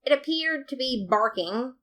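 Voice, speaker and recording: A woman reading a fan story aloud as narrator, with lively, playful storytelling. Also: high-pitched, unhurried. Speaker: podfic reader.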